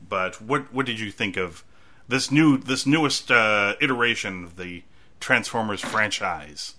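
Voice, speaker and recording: Male podcast host, very bright tone, laughing, stammering restarts, casual chat. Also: on the low side, a little slow.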